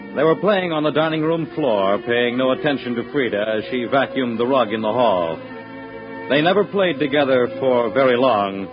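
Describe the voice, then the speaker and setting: A man narrating a dramatic story in old-time radio style, measured and ominous. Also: medium pitch, close to an everyday voice. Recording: dull old radio broadcast recording. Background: clear music.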